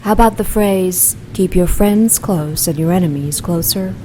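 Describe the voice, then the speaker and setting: An actress playing a commanding supernatural villainess in an audio drama, coolly menacing, languid and condescending. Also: female voice, on the low side, normal speed, background noise, natural voice.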